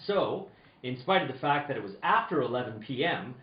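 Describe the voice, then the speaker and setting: A man's voice: mid-range voice, animated, fairly quick, pitched below the performer's own voice, natural voice. A man acting as a hard-boiled, earnest insurance investigator in an old-time radio detective play, with theatrical, slightly stumbling reading. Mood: wry narrating. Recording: roomy, dull, slightly noisy distant recording.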